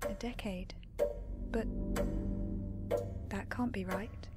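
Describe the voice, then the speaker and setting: An actress playing a timid, naive young maid, a lost spirit, hesitant and deferential, in an audio drama. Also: female voice, medium pitch, steady tone, slow pace, lightly acted. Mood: confused, meek. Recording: loud music under the voice.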